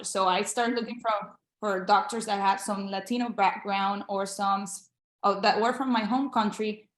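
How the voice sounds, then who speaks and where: Young woman, from Venezuela, Spanish native, earnest, encouraging, roomy echo. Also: medium pitch. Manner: earnest, encouraging, with frequent restarts. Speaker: guest, international medical graduate. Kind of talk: casual interview.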